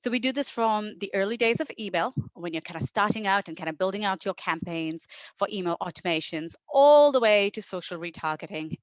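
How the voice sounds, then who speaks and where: A woman's voice: fairly low pitch, dry recording. Female presenter from India, middle-aged, informal and keen conference delivery, close dry sound, slightly lo-fi. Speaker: marketing executive, guest presenter. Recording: dull, lo-fi online presentation audio.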